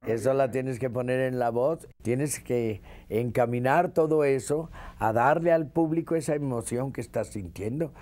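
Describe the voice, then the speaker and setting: A man's voice: medium pitch, normal speed, few pauses, darkish tone. A man, a veteran radio actor, reminiscing emphatically in an interview and repeating phrases for effect.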